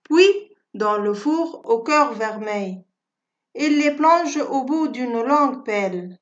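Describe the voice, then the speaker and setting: Slow, high-voiced woman reciting poetry. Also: lively intonation. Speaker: poem reciter for schoolchildren. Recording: dull, lo-fi audio.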